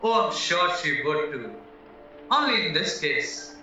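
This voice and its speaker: A man's voice: on the high side, sing-song, measured pace, sharp tone, heavily acted. A man acting in an audio play as a naive, anxious young husband accused of murder, earnest and rambling as he protests. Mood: anxious, pleading. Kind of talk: stage-style audio drama acting. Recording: very echoey, muffled, distant, music underneath.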